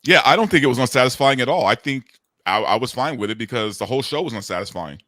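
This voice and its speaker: A man in casual, opinionated banter, animated and mocking with an incredulous way of talking. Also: medium pitch, fast talker, no echo, clean studio sound. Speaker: show panelist.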